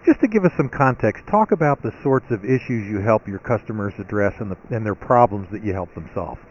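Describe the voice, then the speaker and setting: A man's voice: on the low side, lively intonation, brisk, dull audio, slight background noise. A man co-hosting a live radio show, chatty and asking follow-up questions.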